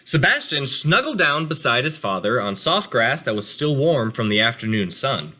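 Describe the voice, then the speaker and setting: A man reads a picture book aloud to children. His delivery is expressive, with big swings in pitch, and his tone is bright. He speaks to the listeners directly in casual asides. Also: moderate pace. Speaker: read-aloud host for children.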